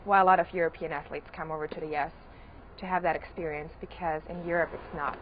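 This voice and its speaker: A young woman from Austria speaks in a lowish, relaxed voice, pausing on "um" as she answers. The sound is a bit dull, with a faint hiss behind it. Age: young adult. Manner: relaxed, with "um" pauses. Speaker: college tennis player. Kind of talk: casual interview answers.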